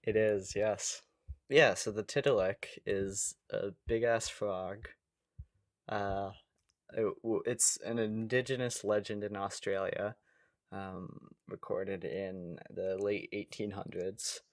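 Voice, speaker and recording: A man's voice: on the low side, fairly even intonation, slow, dry recording, clean studio sound. A man co-hosting a comedic podcast, chatting casually and easily amused. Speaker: podcast co-host.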